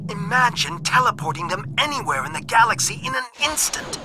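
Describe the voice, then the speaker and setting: An actor playing an anxious, principled alien scientist in a video game, speaking in an earnest, measured, confiding way. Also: male voice, very high-pitched, animated, background noise. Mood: urgent, alarmed. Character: anxious male alien scientist, a principled dissident leaking secrets. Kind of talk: scripted game voice acting, recorded message monologue. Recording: music behind the voice. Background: music.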